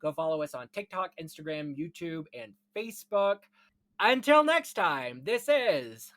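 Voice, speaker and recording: A man's voice: on the high side, sing-song, a little slow, a bit bright. A man hosting a podcast in a casual film-history chat, talking in an effusive, gushing way. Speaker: podcast host.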